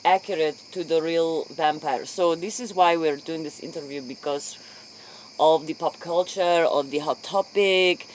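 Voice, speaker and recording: A woman playing a solemn late-night interviewer, speaking formally and haltingly, often repeating herself. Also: sits low, slight background noise, low-quality recording. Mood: earnest. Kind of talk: improvised spoof interview in character.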